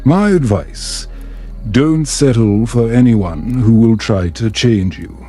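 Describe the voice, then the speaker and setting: A man reading as a slow, self-assured fantasy prince. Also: mid-range voice, expressive, mellow, soft background music. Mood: advising.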